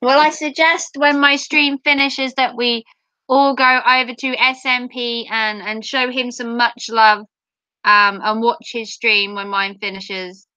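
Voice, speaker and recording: High bright-voiced woman chatting to her stream. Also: level tone, a little slow, dry recording, low-quality recording. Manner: chatty, playful, giggly. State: voice going hoarse. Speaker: livestreamer. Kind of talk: casual chat with viewers.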